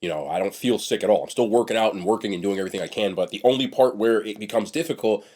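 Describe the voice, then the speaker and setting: Young man, lowish voice, congested, sniffly, nasal, blunt chatty food reviewer. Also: fast, a little mellow. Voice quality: nasal from a blocked nose. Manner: blunt and chatty, trails off and restarts. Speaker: food vlogger. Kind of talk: casual mukbang food review.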